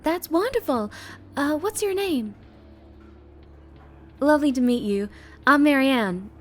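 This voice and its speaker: A woman's voice: high voice, expressive, slow, slight background noise, voice pushed up. A woman voicing a sweet, chirpy small-town waitress with a Southern US accent and friendly service patter in an ASMR roleplay drama. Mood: chirpy, welcoming.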